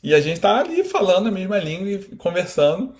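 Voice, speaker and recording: Animated Brazilian man in his thirties. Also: sits high, a little fast. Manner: animated, quotes imagined speech, many fillers. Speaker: guest. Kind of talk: casual interview conversation.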